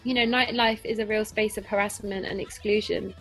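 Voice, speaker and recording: Articulate female band member, medium pitch, earnest conversational answers, soft music behind. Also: fairly even intonation, a little fast, poor audio. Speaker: band member being interviewed. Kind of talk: casual interview chat.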